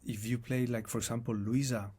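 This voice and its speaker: A man with a non-native accent, speaking as an interview guest in a reflective, halting way and often restarting his phrases. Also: mid-range voice, fairly even intonation, darkish tone, slightly rough. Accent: non-native English accent. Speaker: guest musician. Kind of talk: casual conversation.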